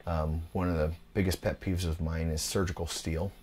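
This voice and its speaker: A man in his thirties talks for a documentary interview in his tattoo shop. His voice sits very low and stays flat, with little rise or fall. He talks in a laid-back, unhurried way and often pauses on "uh". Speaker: tattoo and piercing shop owner.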